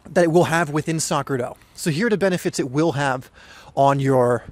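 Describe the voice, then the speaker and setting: Hoarse young man talking earnestly. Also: fairly high pitch, brisk, dry recording. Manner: earnest, rambling, with frequent fillers and restarts. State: hoarse, voice a bit weak. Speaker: soccer podcast host. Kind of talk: casual solo podcast talk.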